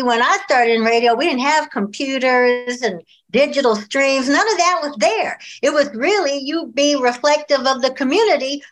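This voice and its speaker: A woman's voice: high-pitched, fairly bright tone, low-quality recording. An older woman, a radio veteran, speaking plainly and hopefully on a video-call panel. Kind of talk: panel discussion.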